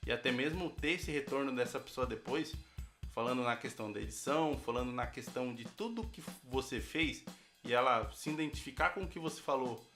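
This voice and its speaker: A man's voice: mid-range voice, brisk, pushed and bright, faint music behind. A man with a Brazilian accent giving an informal recorded message as a guest podcaster.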